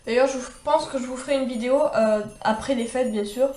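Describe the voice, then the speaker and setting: A woman's voice: high-pitched, fairly quick, dark tone, reverberant. A young woman with a cold and a stuffy nose, chatting her way through a makeup tutorial with many fillers and restarts. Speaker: beauty vlogger.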